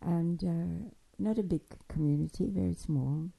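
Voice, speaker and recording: Older woman, low and mellow-dark, halting oral-history storyteller. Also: gravelly, slightly rough, low-quality recording. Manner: halting, digressive storytelling with many restarts. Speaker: interviewee recounting childhood. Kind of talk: spoken memoir, oral history.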